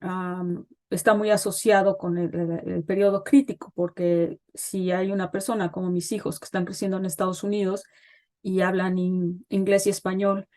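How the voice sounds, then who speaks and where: A middle-aged woman, a guest expert, explains child development in an interview, pausing often with fillers as she thinks. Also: lowish voice, flat delivery, darkish tone. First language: Spanish. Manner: thoughtful, pauses with frequent fillers.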